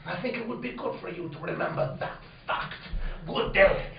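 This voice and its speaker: A man's voice: sits high, normal speed, creaky, over loud music. A man playing a pompous French police inspector in a comic radio play, theatrical, with a put-on French accent. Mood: indignant. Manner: theatrical, tags questions with huh. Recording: dull, distant, roomy, lively crowd.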